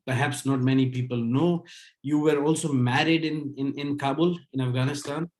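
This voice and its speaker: A young man interviewing a guest over a video call, asking polite, halting questions with fillers. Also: medium pitch, fairly even intonation, darkish tone. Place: indoor office. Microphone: video call webcam.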